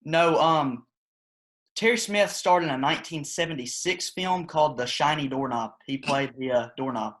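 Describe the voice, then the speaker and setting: A man with a slightly high voice plays it straight as a panel analyst. He objects with deadpan earnestness, hesitating as he makes his mock-serious case. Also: moderate pace.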